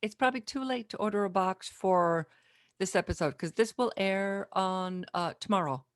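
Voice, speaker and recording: A woman hosting a podcast in casual interview chat, speaking informally and a little haltingly. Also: mid-range voice, no echo, studio quality. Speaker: podcast host.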